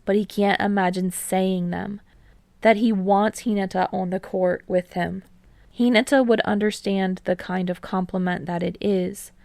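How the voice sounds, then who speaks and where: Unhurried woman narrator with slight creak. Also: on the low side, a little mellow, dry recording. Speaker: fan fiction audiobook narrator. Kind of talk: scripted story narration.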